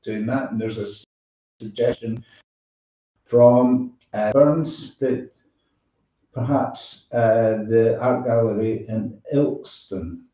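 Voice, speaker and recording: Echoey, mellow-voiced man hosting. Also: medium pitch, fairly even intonation, takes it slowly. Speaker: show host. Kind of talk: casual live online show chat. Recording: echoey, distant mic, dull sound.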